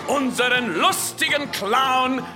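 Grand circus ringmaster, real high and drawn out, crowd noise, audio drama. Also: male voice, animated, slow pace, full character voice. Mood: grandly announcing. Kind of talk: radio play acting. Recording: loud music and crowd behind.

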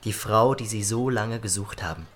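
An actor playing a fawning, ceremonious doctor in a radio drama, speaking formally and obsequiously. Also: male voice, lowish voice, normal speed, lightly acted. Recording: music behind the voice.

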